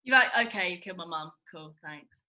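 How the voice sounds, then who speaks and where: A woman's voice: fairly low pitch, expressive, brisk, sharp tone. A woman, an actor chatting casually at a rehearsal.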